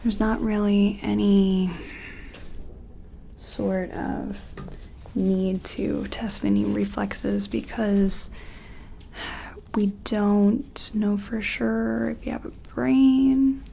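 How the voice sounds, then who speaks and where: A woman performing a gentle ASMR roleplay as an examiner, soft-spoken and often whispering, explaining things in a tentative, clinical way. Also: mid-range voice, gravelly, a little hiss or hum.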